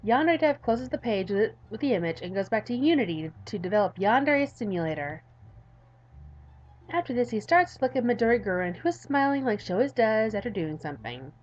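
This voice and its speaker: A woman's voice: a little high, big pitch swings, fairly quick, darkish tone, lo-fi sound. A tired woman reads a visual novel aloud in a let's-play, dropping in informal, playful asides. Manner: informal, playful, self-interrupting.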